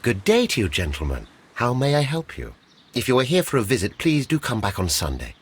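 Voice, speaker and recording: An actor playing a curt, bossy deputy director of botanic gardens in a video game, officious and formal. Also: male voice, mid-range voice, huge pitch swings, brisk, breathy edge, heavily acted. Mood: stiffly formal.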